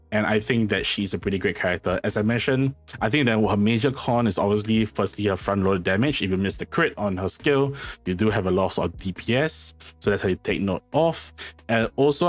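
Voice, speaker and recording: A fairly low-pitched man with a slightly hoarse voice, talking informally through a game guide. He rambles and corrects himself often. Faint music plays behind him. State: losing his voice, slightly hoarse. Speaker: gaming channel host. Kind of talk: casual game guide commentary.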